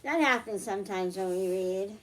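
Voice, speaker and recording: A woman's voice: mid-range voice, moderate pace, fairly bright tone, rough recording. A woman hosting a children's library storytime, reading aloud and explaining things in simple phrases for young listeners.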